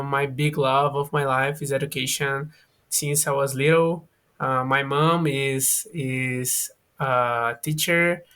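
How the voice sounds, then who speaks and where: A man's voice: mid-range voice, bright, forceful tone, smooth. A man in his twenties with a Brazilian Portuguese accent, a guest on a podcast, who talks hesitantly and searches for English words with many fillers. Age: 20s. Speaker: guest, education student. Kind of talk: casual podcast interview.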